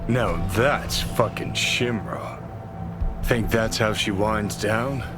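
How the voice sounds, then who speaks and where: An actor playing a cynical, abrasive rebel in a video game, sardonic, profane and sneering. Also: male voice, fairly low pitch, slow pace, loud music behind the voice. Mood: sardonic, needling. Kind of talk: cinematic video game acting.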